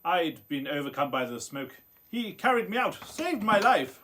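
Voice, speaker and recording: An actor playing an intense, brooding young gentleman scientist in a radio play, with a theatrical, hesitant delivery. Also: on the high side, big pitch swings, moderate pace, exaggerated voice. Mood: weary, subdued.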